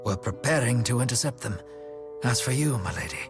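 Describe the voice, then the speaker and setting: An actor playing a courteous older gentleman strategist in a video game, speaking in a formal, measured, polite way. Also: medium pitch, expressive, close to an everyday voice. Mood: composed, formal. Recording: loud music behind the voice. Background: loud background music.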